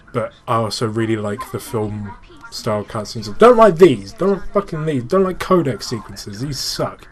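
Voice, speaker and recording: A man's voice: mid-range voice, low-quality recording. A man hosts a casual gaming commentary in a mid-range voice. He explains like a keen fan and gets exasperated, swearing as he goes. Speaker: gaming channel host and player.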